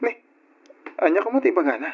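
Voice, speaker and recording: Radio drama role: young Ilocano male student, friendly and eager, animated intonation, muffled sound. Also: high-pitched, fast talker, husky. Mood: friendly, coaxing. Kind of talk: old radio drama acting. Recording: muffled old radio recording.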